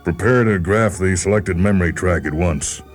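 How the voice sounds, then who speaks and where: A man's voice: sits low, normal speed, faint music behind, pitched above the performer's own voice, natural voice. Man voicing a cold, commanding alien overseer. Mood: stern, commanding. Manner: clipped, formal orders.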